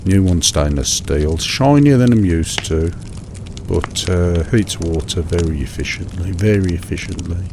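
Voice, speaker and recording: Deep, gravelly British man rambling sleepily. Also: unhurried. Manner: mundane, hesitant, rambling, soothing, repeats himself. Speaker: host of a sleep relaxation show. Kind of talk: monotonous one-sided phone chat for falling asleep. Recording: steady background noise, fireside crackle.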